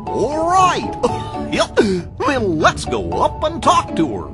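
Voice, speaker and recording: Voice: high-pitched, huge pitch swings, takes it slowly, vocal fry, over music, full character voice. A performer playing a good-natured, bumbling male cartoon dog in a video game. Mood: cheerful.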